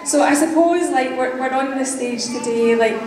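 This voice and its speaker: A woman's voice: high-pitched, normal speed, a little mellow. A young woman with a Glaswegian accent introducing herself on a panel in a casual, chatty way, full of fillers. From Glasgow. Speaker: panel speaker. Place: large conference hall. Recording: echoey hall from far off.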